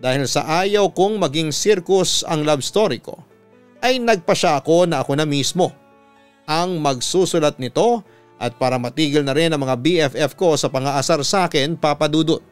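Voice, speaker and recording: A Filipino man, a radio announcer, reading a listener's letter dramatically with drawn-out emphasis on key phrases over background music. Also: on the high side, smooth. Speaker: radio announcer and story reader. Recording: music bed behind the voice.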